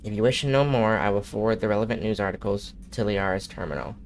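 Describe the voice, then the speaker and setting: A man with a low, gravelly voice and a nasal edge reads in-game messages aloud at an unhurried pace, now and then stumbling and restarting a word. A faint hiss of noise sits under the recording. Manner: steady, unhurried reading with occasional slips and restarts. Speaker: streamer. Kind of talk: reading in-game messages aloud during a live gameplay stream.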